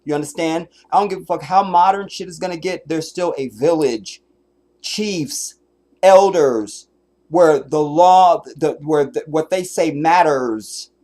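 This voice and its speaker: Brief: male streamer, slightly high voice, blunt and profane, hammering his points. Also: measured pace, a little mellow. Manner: blunt, emphatic, swears often. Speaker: streamer commenting on a clip. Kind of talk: profane reaction commentary.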